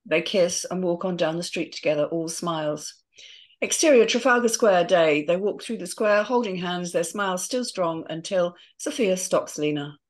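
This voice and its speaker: A woman's voice: fairly low pitch, normal speed. A woman steadily reading the scene directions of a screenplay in a matter-of-fact way. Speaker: narrator reading stage directions.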